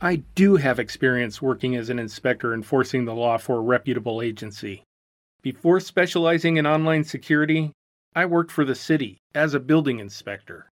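Woman voicing a level-headed male ex-inspector, lively inflection, dry close read. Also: rumbling low, a little fast, no echo, pitched below the performer's own voice. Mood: matter-of-fact. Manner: calm, matter-of-fact, diplomatic. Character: diplomatic dry-witted male former building inspector. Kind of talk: audiobook character voice.

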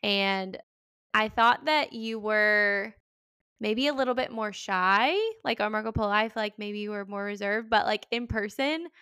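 A woman's voice: medium pitch, measured pace, smooth, no echo, studio quality. A woman in her twenties, co-hosting a podcast as a casual, earnest chat with a friend, full of "like" fillers. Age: 20s. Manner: warm, earnest, lots of "like" fillers. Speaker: podcast co-host, teacher.

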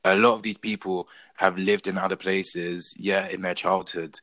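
A young Englishman with a low, deep voice and a bright, forceful edge to it, speaking earnestly in a casual conversation. He talks from the heart and stops and restarts mid-thought as he works through his own experiences. The sound is close and dry. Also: level tone, moderate pace, no echo. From England. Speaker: discussion participant. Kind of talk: casual discussion.

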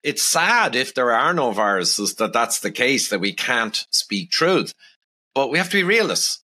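A middle-aged man with an Irish accent, a podcast guest who speaks in a calm, measured, reasonable way. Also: mid-range voice. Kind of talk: casual podcast conversation.

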